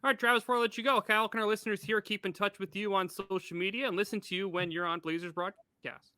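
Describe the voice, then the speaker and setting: A man with a high, extremely bright and forward voice hosts a sports interview show. He talks quickly as he poses questions and speaks to his listeners. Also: fairly even intonation, clean tone, no room sound.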